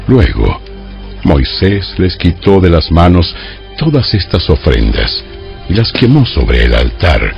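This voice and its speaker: A man with a low, creaky voice narrates scripture as solemn drama. His delivery is measured yet varies widely in pitch. Faint music plays behind a slightly dull recording. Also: darkish tone.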